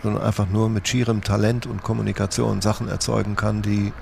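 A man's voice: fairly low pitch, little pitch movement, fairly quick, creaky, darkish tone, slight background noise. A man being interviewed, talking reflectively.

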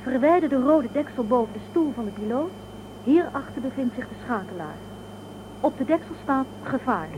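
An assertive, resourceful spacewoman with a high voice, urgent and tense, in a science fiction radio play. The recording has clear noise behind the voice. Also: lively intonation, normal speed. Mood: focused. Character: tense, resourceful female space ferry crew member. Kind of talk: Dutch sci-fi radio drama acting.

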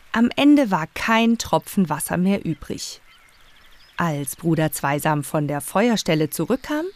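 A woman narrating a scripted children's story in a radio play. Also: lowish voice.

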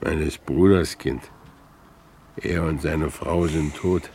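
A man's voice: rumbling low, steady tone, slow, darkish tone, slight background noise, full character voice. An actor playing a weary, jobless man on the run, terse and resigned, in a 1950s German radio drama. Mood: bitter, resigned. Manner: terse, resigned, plain-spoken. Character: weary, jobless man fleeing with his young niece.

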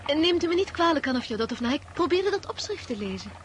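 Timid young lady, higher-pitched, polite. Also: big pitch swings, fast, a little hiss or hum. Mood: flustered. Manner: polite, hesitant, formal. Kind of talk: radio drama acting.

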